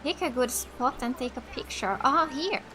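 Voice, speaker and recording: A woman's voice: sits high, normal speed, noisy background. A woman from Finland doing casual let's play commentary, reacting and reading choices aloud.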